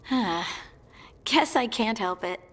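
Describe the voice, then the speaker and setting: An eager to please lil dwarf gal in a game, takes her time, really animated pitch, sheepish n earnest. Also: slow pace, big-room sound, exaggerated voice. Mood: reflective. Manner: hesitant, earnest, apologetic. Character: small, friendly dwarven woman, eager to please. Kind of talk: video game dialogue acting.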